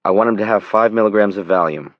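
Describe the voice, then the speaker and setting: A calm, firm male doctor read by a man narrator with a fairly deep voice. The audiobook sound is dry and close. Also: fast, dry recording, dull audio, lightly acted. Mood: firm, soothing.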